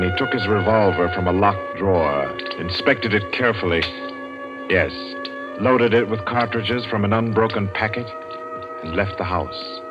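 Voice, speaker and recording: A man with a very low voice narrates a suspense tale in old-time radio fashion. His delivery is measured and deliberate. The dull, band-limited recording carries clear music behind him.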